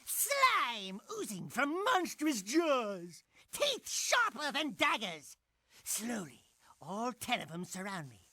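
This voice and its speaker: A man's voice: extremely high pitch, huge pitch swings, slow pace, sharp tone, no echo, clean studio sound, heavily acted. A man voicing a cocky little animal sidekick in a video game, boastful and theatrical in his delivery. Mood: boastful, dramatic.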